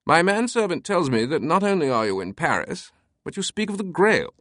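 A man voicing a theatrical, playful British aristocrat in an audiobook, with a crisp, light voice and a mock-grand British accent. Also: fairly high pitch, sing-song, brisk, a bit bright, no room sound, clean studio sound, pitched above the performer's own voice. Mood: amused, grand. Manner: pompous, dramatic, mock-solemn.

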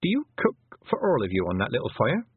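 A man voicing an earnest, sharp-witted young girl witch, in a raised, eager voice. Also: mid-range voice, huge pitch swings, fast, slightly rough, no room sound, heavily acted. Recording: tinny, phone-like lo-fi audio.

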